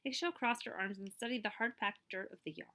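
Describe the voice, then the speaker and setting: A woman librarian reading a picture book aloud to children, with expressive storytelling and explanatory asides and questions for her listeners. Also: medium pitch. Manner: expressive storytelling, pausing to check understanding with listeners.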